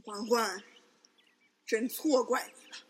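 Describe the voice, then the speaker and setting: A woman's voice: a little high, fairly even intonation, slow, slightly rough. A woman playing a stern, imperious male emperor in a Chinese palace radio drama, commanding and clipped. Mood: solemn.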